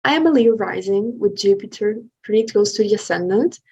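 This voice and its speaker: A woman's voice: mid-range voice, lively intonation, brisk, warm tone, slightly rough, highs cut off. Swiss-woman co-hosting a podcast. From Italian-speaking Switzerland. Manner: earnest, explanatory, with some hesitations. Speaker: podcast co-host. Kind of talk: casual podcast chat.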